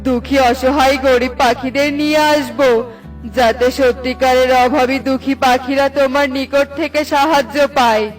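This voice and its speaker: A woman voicing a good-hearted, excitable male pigeon woodcutter in a cartoon, earnest and full of exclamations. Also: sits high, moderate pace, faint music behind, lightly acted. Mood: grateful, earnest. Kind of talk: cartoon voice acting.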